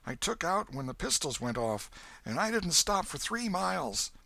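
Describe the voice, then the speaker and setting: A man voicing a frightened, uneducated vagabond boy with a rural American accent in an audiobook, talking in an anxious, halting, ungrammatical country way. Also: fairly high pitch, sing-song, creaky, pushed and bright, no echo, voice pushed up, heavily acted. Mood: nervous. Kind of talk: audiobook character voice.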